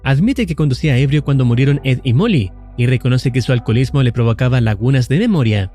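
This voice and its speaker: Mellow male narrator explaining horror lore. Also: medium pitch, soft background music. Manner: measured, explanatory.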